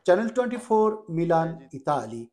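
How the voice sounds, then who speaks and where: A man's voice: a little high, very animated, fast. A man with a Bangladeshi accent narrating a scripted TV news report as a correspondent.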